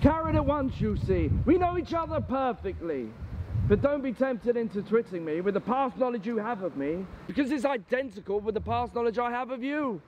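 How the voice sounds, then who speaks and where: An actor playing a cool, calculating Victorian husband in a stage drama, speaking in formal, precise, mocking retorts. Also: male voice, very high voice, expressive, normal speed, a little mellow, lo-fi sound, a little hiss or hum. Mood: brisk, calculating. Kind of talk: theatrical period drama acting.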